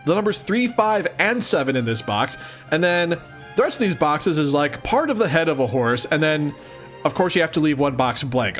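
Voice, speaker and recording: A man's voice: fairly high pitch, fairly bright tone. A man reading aloud and commenting casually, with an amused, exclaiming, playfully sarcastic manner. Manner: amused, exclaiming, often chuckling. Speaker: host of a let's-read series.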